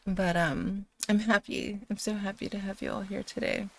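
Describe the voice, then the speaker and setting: A woman's voice: mid-range voice, fairly even intonation, normal speed. A sleepy woman who has just woken, hosting a casual livestream chat before a bedtime reading, giggly and rambling. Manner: giggly and rambling, with many fillers. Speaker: livestream host.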